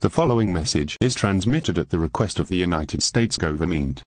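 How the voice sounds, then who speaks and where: A man's voice: lowish voice, a little mellow, husky, no room sound. A synthetic male voice reading a scripted emergency alert as an announcer. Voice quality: synthetic text-to-speech voice.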